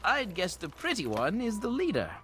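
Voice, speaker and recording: A smug fantasy-game highwayman chief. His high, bright voice swings widely, with sardonic, mock-polite courtesy over faint music. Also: wildly swooping pitch, normal speed, full character voice. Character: smug, smooth-talking male bandit leader.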